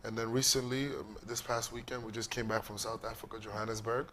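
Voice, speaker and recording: A man from Ghana and New York giving explanatory interview answers as an executive guest, in short, deliberate phrases. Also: fairly low pitch, flat delivery, bright, forceful tone, slight background noise.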